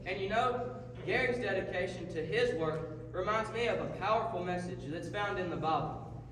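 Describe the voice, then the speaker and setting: A man's voice: high voice, normal speed, a crowd in the background, natural voice. A man playing an upbeat, folksy radio host puppet with a Southern US accent, presenting a scripted comedy talk show. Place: large echoey hall, live performance. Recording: echoey hall from far off, noisy.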